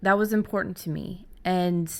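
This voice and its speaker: Middle aged woman podcast host, lowish voice, chatting candidly, thinks out loud as she goes. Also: moderate pace, gravelly. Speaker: podcast host and fiction writer. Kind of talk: casual conversational storytelling.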